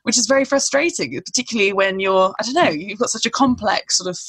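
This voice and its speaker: A woman's voice: medium pitch, fast, a bit bright, husky, poor audio. Chatty British woman hosting. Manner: chatty, swears casually. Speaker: podcast host. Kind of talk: casual conversation.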